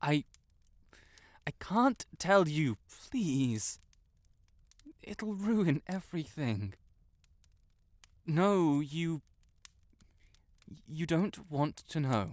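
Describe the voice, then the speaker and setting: Man acting a proud, flustered wizard, swinging pitch. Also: expressive, very slow, pauses often, no room sound. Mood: reluctant, flustered. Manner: haughty, stammering, blurting and backtracking. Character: proud, flustered tsundere wizard secretly in love. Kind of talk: romantic audio roleplay acting.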